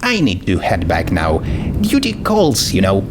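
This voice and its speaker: A man's voice: sits low, huge pitch swings, measured pace, background noise, heavily acted. A man voicing a dutiful young princess, a friendly but firm female friend, reasonable and apologetic, in a story reading.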